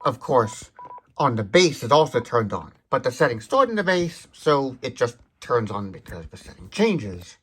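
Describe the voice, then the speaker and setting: A man demonstrates a product step by step with a husky, slightly rough voice. His intonation swings widely, and he explains each point methodically and precisely. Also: mid-range voice, very animated, moderate pace, a bit bright. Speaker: tech demonstrator.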